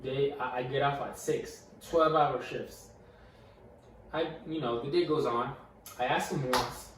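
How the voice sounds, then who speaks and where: A man's voice: medium pitch, unhurried. A young man co-hosting a casual podcast, telling a story animatedly and stuttering and repeating words. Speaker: podcast co-host. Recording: echoey room, mic far off, faint noise.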